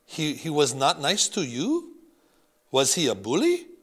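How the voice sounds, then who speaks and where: A man's voice: a little high, wildly swooping pitch, unhurried, a bit bright, breathy edge, pitched above the performer's own voice, heavily acted. A man doing a French grandmother, thick accent. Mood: puzzled, scolding. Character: elderly French grandmother, doting and blunt. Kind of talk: audiobook character voice.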